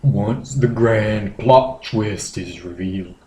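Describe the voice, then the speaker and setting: A man's voice: lowish voice, slow pace, a little mellow. A man hosting a comedy podcast, casually reading internet posts aloud with wry, crude asides. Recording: roomy echo, faint noise.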